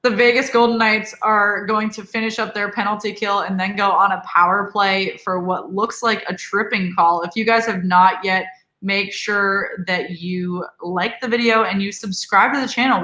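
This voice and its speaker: A woman's voice: mid-range voice, fairly even intonation, moderate pace, pushed and bright. A woman in her twenties from Boston, co-hosting a live hockey watch-along in a casual, chatty way. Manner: chatty, laughing, promotional asides. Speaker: co-host. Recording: roomy echo.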